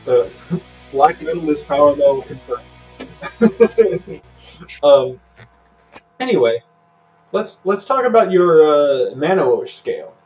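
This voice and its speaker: A man's voice: fairly high pitch, big pitch swings, takes it slowly, long pauses, distant mic, highs cut off, soft background music. A man co-hosting a casual podcast chat, laughing easily and musing aloud in food comparisons. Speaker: podcast co-host.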